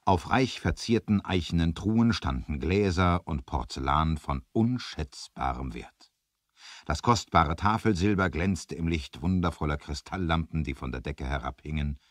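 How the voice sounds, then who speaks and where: A man with a very deep voice narrates a seventies adventure radio play. His storytelling is dramatic, with lively swings in intonation. The sound is close and dry. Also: measured pace, no echo.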